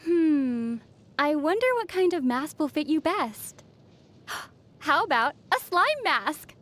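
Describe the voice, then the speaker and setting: High-voiced young adventurer girl. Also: big pitch swings, takes it slowly, fairly bright tone, full character voice. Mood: helpful. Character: young female adventurer, gentle, teasing, fond of companion. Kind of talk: video game character dialogue.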